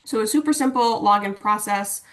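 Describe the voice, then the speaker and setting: A woman giving an informal webinar walkthrough of software over a video call, explaining things in a casual, conversational way. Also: medium pitch, normal speed, poor audio. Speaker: instructional designer and LMS administrator presenting.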